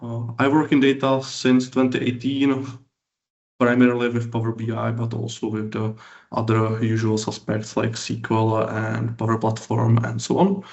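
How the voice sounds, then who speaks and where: Czech guy doing a tech talk, halting english, pretty monotone, echoey slightly muffled room sound. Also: medium pitch, vocal fry. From Czech Republic. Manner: halting, hesitant non-native English with repeated words. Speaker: conference speaker, BI consultant and lecturer. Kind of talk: technical conference presentation. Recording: roomy, echoing, slightly dull sound.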